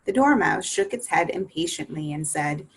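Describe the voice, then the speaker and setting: A woman reading a children's book aloud as a library read-along host, in a gentle, unhurried storytelling manner. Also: low voice, expressive, breathy edge.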